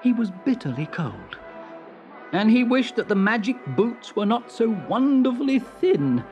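A woman narrates a children's storybook with theatrical flair. Her pitch swings dramatically, and music plays along behind her. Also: big pitch swings, unhurried, a little mellow, muffled recording.

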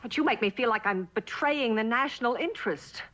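A high-voiced, wounded, neglected wife. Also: female voice, animated, a little fast, a bit bright. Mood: hurt, bitter. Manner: plaintive, accusing. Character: neglected, bitter young wife, wounded and reproachful. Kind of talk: mid-century American TV drama acting. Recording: dull, faintly noisy old television soundtrack.

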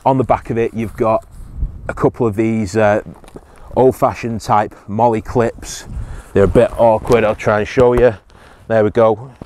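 A man's voice: on the low side, fairly even intonation, moderate pace, darkish tone, a little hiss or hum. A man, casually reviewing survival gear outdoors on a hillside, hesitant with many ums and restarts.

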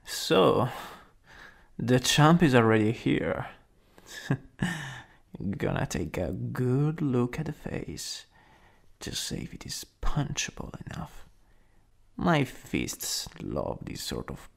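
A man acts out a rude young bully in an ASMR roleplay, all swagger over a hidden soft spot. The voice is gravelly and husky, and it swings widely in pitch between taunting and flustered. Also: sing-song, slow pace, long pauses, full character voice. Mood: cocky, menacing. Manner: cocky taunts breaking into stammering, breathy asides.

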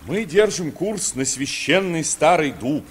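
A man's voice: fairly high pitch, lively intonation, a little slow, breathy edge. A man playing a knowledgeable adventurer captain guiding a voyage in an old children's radio play. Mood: solemn, informative. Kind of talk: mid-century Soviet radio drama acting. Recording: old radio recording, faint water noise.

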